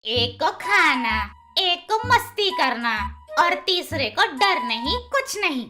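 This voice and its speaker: A woman voicing a nagging, sharp-tongued old grandmother bird in a children's cartoon, scolding and sarcastic. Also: high voice, very animated, slow, music in the background, heavily acted. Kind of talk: children's animated moral story.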